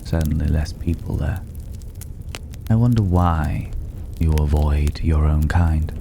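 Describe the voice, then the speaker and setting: Man playing a very deep, teasing mysterious drifter. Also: dark tone, heavily acted. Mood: probing, teasing. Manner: unhurried, wry, condescending, with drawn-out pauses. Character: regal, teasing male drifter, secretly a dragon. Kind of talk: intimate ASMR roleplay acting. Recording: clearly audible background noise.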